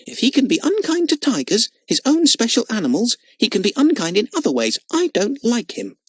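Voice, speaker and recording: A man's voice: huge pitch swings, a little fast, slightly rough, dry recording, studio quality, heavily acted. A man voicing a sulky, stubborn little girl in a children's storybook reading. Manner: high, stubborn and tearful.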